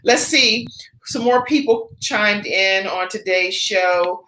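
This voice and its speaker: A woman's voice: mid-range voice, slow pace, bright, forceful tone, echoey room, a little hiss or hum, poor audio. A woman hosting a live talk show, chatting casually and laughing easily with her guest. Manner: upbeat, chatty, laughs often. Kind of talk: casual live interview chat.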